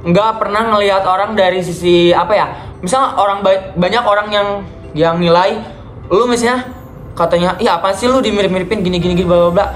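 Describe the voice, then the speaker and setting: Young male vlogger, high voice, talkative and encouraging, echoey room. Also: a little fast, a bit bright. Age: young adult. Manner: chatty, addresses viewers as guys, encouraging. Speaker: vlogger host. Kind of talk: casual video call chat. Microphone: video call. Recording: echoey room with faint music.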